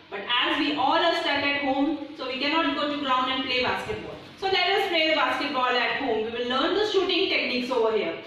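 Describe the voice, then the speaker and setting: A young woman teaching a children's fitness class, speaking in slow, simple phrases and counting out exercises. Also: sits high, fairly bright tone, slight background noise. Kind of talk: instructional class, counting reps. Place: large bare room indoors. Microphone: far camera. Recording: echoey bare room from far off.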